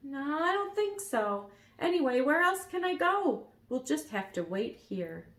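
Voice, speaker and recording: A woman voicing a gentle, timid young male dragon who is tired, in high, breathless, pleading cries. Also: big pitch swings, unhurried, roomy sound, heavily acted. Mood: resigned.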